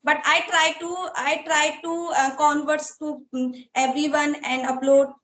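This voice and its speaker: A woman in her thirties with an Indian accent, hosting a casual interview in halting learner's English. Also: high voice, level tone, fairly bright tone, recorded from a distance. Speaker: interviewer, YouTube host. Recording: roomy, echoey sound.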